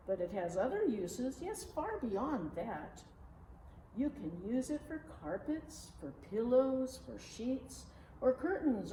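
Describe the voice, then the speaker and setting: A woman's voice: on the high side, mellow, voice pushed up, full character voice. A woman voicing a brash, greedy storybook businessman, smug, boastful and persuasive. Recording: roomy, distant mic, faint noise.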